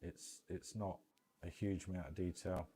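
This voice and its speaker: A man giving casual, halting, rambling commentary on a gaming livestream. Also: low-pitched, fairly even intonation, mellow, a little hiss or hum. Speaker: livestream host.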